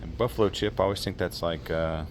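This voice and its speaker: Deep-voiced Southern man rambling in a store. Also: quick pace. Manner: rambling, thinking aloud with many fillers. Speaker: whiskey channel host. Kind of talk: casual store walkthrough vlog. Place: liquor store, indoors. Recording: noisy store ambience.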